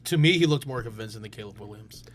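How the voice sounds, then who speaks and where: A man's voice: on the low side, animated, quick pace. A young man co-hosting a sports podcast, arguing his picks in assertive bursts. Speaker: podcast co-host. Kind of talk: casual sports debate.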